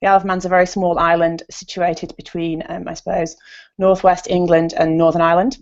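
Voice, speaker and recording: A woman's voice: fairly low pitch, flat delivery, a little fast, a little mellow. A woman, a language teacher, introducing herself politely on a video call. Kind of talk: self-introduction. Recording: dull lo-fi video call audio.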